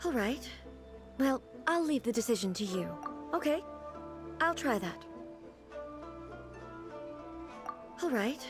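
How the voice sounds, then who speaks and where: An actress playing a dignified, reclusive female ruler in a video game, speaking in formal, measured and earnest phrases. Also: a little high, animated, over music, close to an everyday voice. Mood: puzzled, self-conscious. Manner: formal, measured, deliberate wording. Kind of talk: English video game voice acting.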